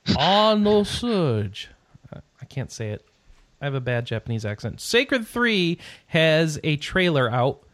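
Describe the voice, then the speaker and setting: A man's voice: a little high, sing-song, unhurried, a bit bright, no echo. Rambling, sarcastic male podcast host. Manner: rambling, sarcastic asides, trailing off into mumbles. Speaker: podcast host reading gaming news. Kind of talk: casual podcast chat.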